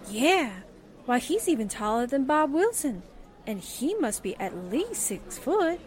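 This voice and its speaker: A woman's voice: high-pitched, very animated, unhurried. A woman playing a brash, wide-eyed newspaperwoman sidekick, slangy and exclaiming, in 1940s radio drama style. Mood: curious, breezy.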